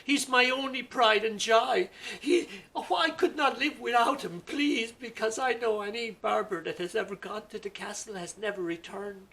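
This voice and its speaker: A man's voice: sits high, normal speed, gravelly, few pauses, pushed and bright, pitched above the performer's own voice, full character voice. A man voicing a loving widowed mother who pleads with the king's men to spare her only son. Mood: pleading.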